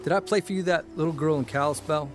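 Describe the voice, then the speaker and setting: An actor playing a wry, folksy 1940s American newspaper columnist, hesitant and dryly joking in a naturalistic audio drama. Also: male voice, on the high side, quick pace, music in the background, natural voice. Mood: musing. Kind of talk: naturalistic period radio drama.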